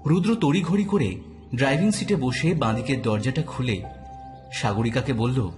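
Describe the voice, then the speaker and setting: A man's voice: mid-range voice, normal speed, a little mellow, soft background music. A man narrating a scripted detective story as an audio drama narrator.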